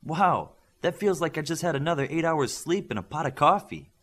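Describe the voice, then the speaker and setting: A teasing young superhero woman read by a male narrator in an audiobook. The delivery is dramatic and bantering, lifted in pitch and slightly husky. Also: animated, normal speed, a bit bright. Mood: amazed relief. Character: wry, teasing young female superhero team leader.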